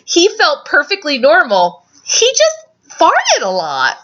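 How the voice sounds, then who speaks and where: A woman reading a story aloud informally, with chatty asides and an animated, giggly manner. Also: high voice, slow, lo-fi sound. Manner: animated, giggly, easily cracked up.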